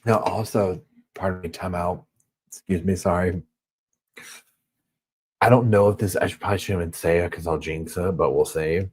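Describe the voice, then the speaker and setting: A man with a Southern US accent hosts a chatty live stream from a hotel room, reading viewer comments as he goes. His voice sits low and sounds a bit husky. He rambles and fills his talk with loose, easygoing asides. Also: steady tone, normal speed, long pauses. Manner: rambling, chatty, heavy on filler words. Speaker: true crime channel host.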